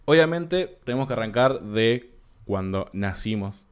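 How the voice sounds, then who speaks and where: A man in his twenties with a Rioplatense accent, co-hosting a casual podcast chat, unhurried and full of hesitant fillers. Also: lowish voice, expressive, clear, resonant tone. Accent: Rioplatense Spanish. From Argentina. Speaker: podcast co-host.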